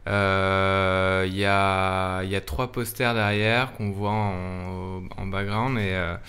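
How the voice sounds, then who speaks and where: A man's voice: deep voice, fairly even intonation, slow pace, a bit bright, clear, resonant tone. A man chatting casually as a guest, hesitant, colloquial and a little self-deprecating. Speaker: guest, web series maker. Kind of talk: casual chat. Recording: faint background noise.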